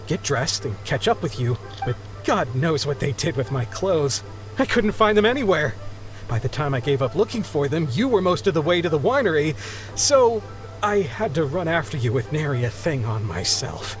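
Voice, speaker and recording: A flustered, lively sea captain. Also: male voice, on the high side, moderate pace, poor audio. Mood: rueful. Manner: flustered, hesitant, apologetic. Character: embarrassed, grateful middle-aged married sea captain. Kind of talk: video game voice acting. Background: background music.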